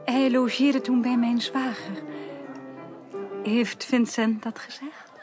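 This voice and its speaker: An actress playing a melancholy, earnest young upper-class woman in a Dutch radio drama, speaking formally and softly. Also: fairly high pitch, steady tone, slow pace. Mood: polite, tentative. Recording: radio drama with music underneath.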